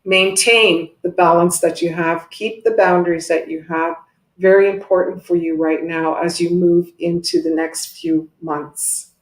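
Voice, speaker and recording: A woman with a fairly low voice gives intuitive guidance in an encouraging, reassuring way. She speaks as a guest reader on a video call, and the room rings with noticeable echo. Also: fairly even intonation, a little slow, slight background noise. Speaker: guest intuitive medium giving a reading. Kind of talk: spiritual reading and advice. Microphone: video call microphone. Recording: roomy echoing video call.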